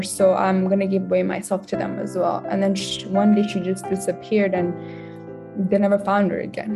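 Young woman, soft and even. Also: mid-range voice, vocal fry. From Afghan family, born in Pakistan, grew up there and in Canada. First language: not English. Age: 20s. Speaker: interview guest. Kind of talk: personal storytelling in a podcast interview.

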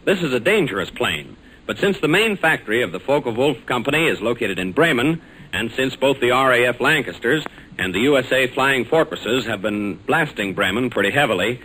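Bright-voiced 1940s American radio announcer, man. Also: mid-range voice, breathy edge. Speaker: radio announcer and story narrator. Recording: noisy old 1940s radio recording.

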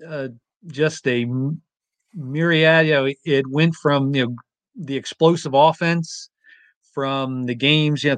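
A man speaking as a guest host on a sports talk show over a remote call, relaxed and rambling with lots of fillers. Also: fairly high pitch, no room sound.

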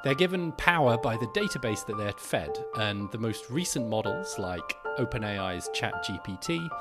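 A man's voice: on the low side, animated, normal speed, loud music behind the voice. A man hosting a podcast, reading scripted narration and an article aloud.

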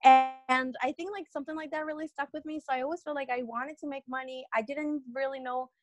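Guest, young woman from Peru, earnest and rambling, slightly high voice, dry close mic. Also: fairly even intonation, a little fast, no echo, soft background music. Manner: rambling, many fillers and restarts, earnest. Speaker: podcast guest. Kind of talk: casual interview storytelling.

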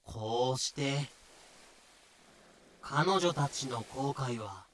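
A man narrating a fan-made voice drama in a measured, explanatory way. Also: mid-range voice, expressive, a bit bright, slight background noise.

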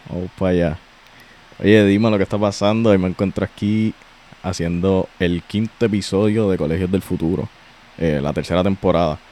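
Low-voiced Puerto Rican man hosting. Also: moderate pace. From Puerto Rico. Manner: slangy and relaxed, many restarts. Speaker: podcast host. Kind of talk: casual podcast chat.

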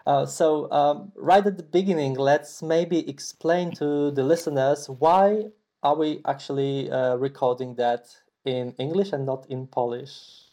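A man's voice: a little high, steady tone, unhurried, warm tone. A man hosting a podcast interview, asking questions in a hesitant way with lots of fillers. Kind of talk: casual interview.

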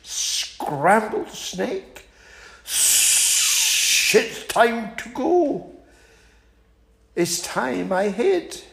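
Storybook snake, male, sly and hungry, coaxing, higher voice with wide swings; reader doing the voice. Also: sing-song, extremely slow, creaky, pauses often, a bit bright, heavily acted. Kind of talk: children's story reading.

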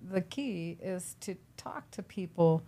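A woman's voice: lowish voice, animated, slow, dark tone. A woman in her fifties, a personal trainer and gym owner, chatting in an upbeat, easygoing way in an interview. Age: 50s. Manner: upbeat and chatty, laughs easily. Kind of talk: casual interview chat.

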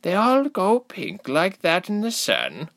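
A man's voice: high voice, wildly swooping pitch, takes it slowly, creaky, a bit bright, pitched above the performer's own voice, exaggerated voice. A man voicing anxious, curious villagers in an audiobook story. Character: anxious, curious villagers gossiping about a stranger. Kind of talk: audiobook character voice.

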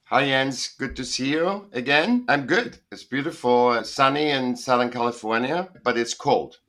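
A man's voice: medium pitch, normal speed, fairly bright tone. A middle-aged man, a guest on a video call, talking in a rambling, emphatic way and often correcting himself. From Austria. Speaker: guest artist. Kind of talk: casual interview talk. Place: home in Southern California.

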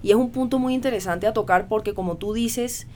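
A woman's voice: mid-range voice, a little fast, darkish tone. A woman from the coast, speaking as a guest author in an easygoing discussion, with articulate, academic phrasing. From Cartagena, Colombia. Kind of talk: conversational discussion.

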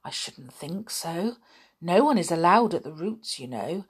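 A woman voicing a wise, kindly male tree-dweller in a storybook reading, speaking calmly and gently firm. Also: fairly low pitch, measured pace, husky, exaggerated voice.

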